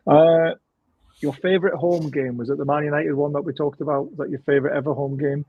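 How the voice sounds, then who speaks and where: A Geordie man with a husky voice is co-hosting a casual football chat. He is chatty and enthusiastic. His voice sounds dry and a little dull, as if coming over a lo-fi call. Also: on the high side, steady tone, no echo. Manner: chatty, enthusiastic, quick to laugh. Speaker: podcast co-host.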